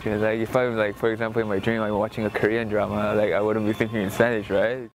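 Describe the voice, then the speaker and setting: A man's voice: on the low side, little pitch movement, fast, a little hiss or hum, rough recording. A young man from Honduras speaking hesitantly, with many fillers. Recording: music playing behind.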